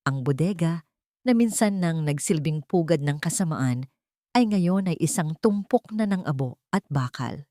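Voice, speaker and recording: A woman narrating a dramatic audio story in a steady, dramatic reading. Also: deep voice, unhurried, no room sound, studio quality.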